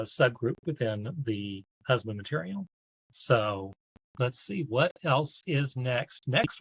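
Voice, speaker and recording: Man, event host, slow delivery, middle pitch, dull lo-fi audio. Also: fairly bright tone, no room sound. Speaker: host, support group coach. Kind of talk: hosting a live online event, introducing a guest.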